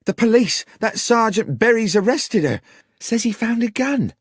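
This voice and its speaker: A man doing a shrill, panicked young woman. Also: big pitch swings, normal speed, breathy edge, dry recording, clean studio sound, full character voice. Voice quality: shrill, screaming.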